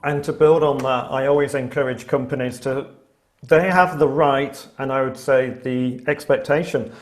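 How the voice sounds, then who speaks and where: A man's voice: medium pitch, steady tone, moderate pace. A man moderating a webinar panel discussion, speaking in a conversational, lightly humorous way.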